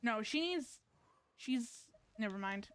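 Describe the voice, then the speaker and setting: Clear, high-voiced woman streamer. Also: lively intonation. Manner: halting, thinking aloud. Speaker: game streamer. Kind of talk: casual live gaming stream chat.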